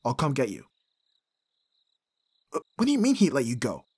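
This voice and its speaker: A man as a raspy, animated bodyguard. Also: medium pitch, normal speed, no room sound. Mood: alarmed, confused. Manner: sardonic, blunt, informal. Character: gruff tsundere male bodyguard, abrasive and protective. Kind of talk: scripted ASMR audio roleplay.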